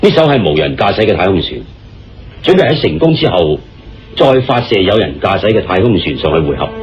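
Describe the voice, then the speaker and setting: Actor as low-voiced Cantonese confidant, earnest. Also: male voice, big pitch swings, fairly quick. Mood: grave, deliberate. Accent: Hong Kong Cantonese. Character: male friend confiding state secrets, earnest, deliberate. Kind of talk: Cantonese radio drama dialogue. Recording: dull, muffled radio-style recording. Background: background music.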